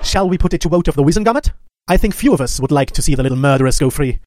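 A man plays a haughty aristocratic wizard villain for an audiobook, pitched a bit high. He talks briskly and formally, with smug, cold menace, in a very dry recording. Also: lively intonation, a little mellow, no room sound, lightly acted. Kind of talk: audiobook character acting.

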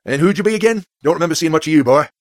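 A man voicing a huge half-giant groundskeeper in an audiobook, loud and gruff, with a plain-spoken rustic manner. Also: fairly high pitch, animated, racing, no echo, clean studio sound, natural voice. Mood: gruff, appraising. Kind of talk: audiobook character acting.

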